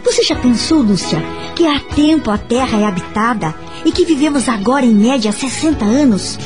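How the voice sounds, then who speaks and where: An actress with a Brazilian accent playing a calm, kind married woman who counsels patiently in a radio soap opera. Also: a little high, normal speed, music in the background, lightly acted. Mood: calm, instructive.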